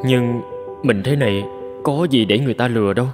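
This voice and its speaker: An actor playing a humble, polite young jobseeker from the countryside in a cartoon, hesitant and earnest. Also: male voice, mid-range voice, a little fast, natural voice. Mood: wary, hesitant. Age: young adult. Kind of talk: animated moral-tale voice acting. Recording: prominent music under the voice.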